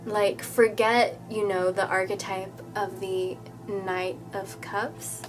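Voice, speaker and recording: Woman, nasal from a cold, chatty reassuring tarot reader, mid-pitched, with music running clearly underneath. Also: little pitch movement, takes it slowly. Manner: chatty, reassuring, many fillers and "okay?". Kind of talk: casual tarot reading to camera.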